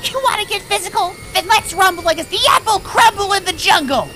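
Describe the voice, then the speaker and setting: A woman's voice: very high voice, a little fast, noisy background. A woman voicing a brash, cocky young female pony hero in a comic dub, boastful, impatient and dramatic. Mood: combative, boastful.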